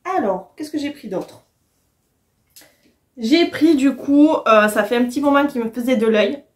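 A woman's voice: high voice, unhurried. A woman, chatting casually and enthusiastically to the camera as a book vlogger. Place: small furnished room at home, indoors.

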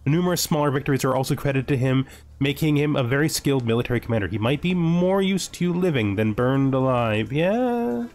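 A man's voice: mid-range voice, animated, fairly quick, a little mellow. A man giving casual commentary on a strategy game, thinking aloud as he plays. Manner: thinks aloud, talking to himself. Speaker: gaming video host. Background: background music.

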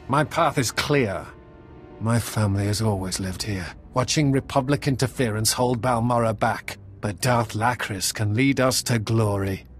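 An actor playing a proud, conflicted young Sith apprentice in a video game, solemn and defiant. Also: male voice, fairly low pitch, measured pace, close to an everyday voice. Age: young adult. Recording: music playing behind the voice.

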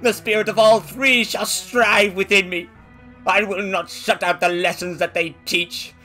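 A man's voice: high-pitched, fairly even intonation, a little slow, pushed and bright, faint music behind. A man playing a repentant old miser in a radio drama, theatrical and exclamatory in his pleas and vows. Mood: fearful pleading.

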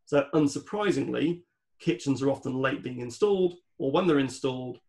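Man with a creaky voice, explaining methodically. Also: mid-range voice, moderate pace, darkish tone. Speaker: guest expert on home renovation project management. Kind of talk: explanatory podcast talk.

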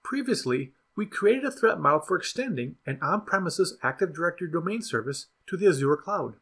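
A man narrating a scripted software tutorial in an even, instructional way. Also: on the high side, fairly quick, husky. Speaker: product demo narrator.

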